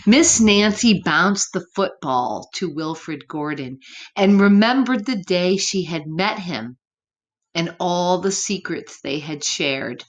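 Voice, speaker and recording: Woman storyteller for little kids, unhurried and highly animated, gently expressive, speaking straight to the children. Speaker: children's story reader, teacher. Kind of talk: read-aloud storytime for young children, with chatty asides. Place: room at home.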